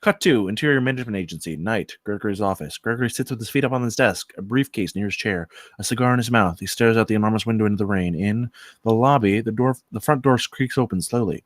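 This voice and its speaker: A man's voice: lowish voice, fast talker, no room sound, clean studio sound. A man reading stage directions as scripted narration at a comedy screenplay table read.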